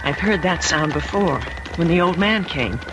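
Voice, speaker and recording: A woman's voice: lowish voice, normal speed, heavy background noise, natural voice. An actress playing a dazed, fatalistic woman traveler with a dreamy, brooding delivery, in an old-time radio drama.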